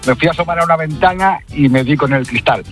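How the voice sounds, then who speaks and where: A man's voice: on the high side, quick pace, a bit bright. A man calling a radio show, telling anecdotes in a casual, conversational way. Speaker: radio phone-in caller. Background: faint music.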